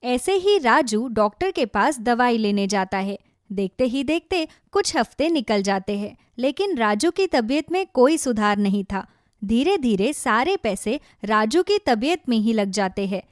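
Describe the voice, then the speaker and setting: A woman's voice: a little high, sing-song, unhurried, dry recording, clean studio sound. A woman giving scripted narration for a cartoon moral story.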